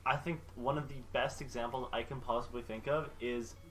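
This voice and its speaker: A man's voice: mid-range voice, steady tone. Sweary, emphatic man chatting on a podcast. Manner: halting, emphatic, profane. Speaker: podcast co-host. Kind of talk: casual group chat.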